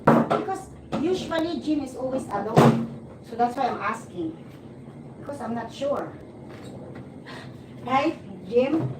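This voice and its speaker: Filipino lady in her 40s chatting on a stream, high voice, slow, sounds far from the mic in an echoey room w/ noticeable background noise. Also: animated. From Philippines. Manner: chatty and teasing, laughs often, halting restarts. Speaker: livestream host. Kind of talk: casual chat with viewers. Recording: roomy, distant mic, noticeable background ambience.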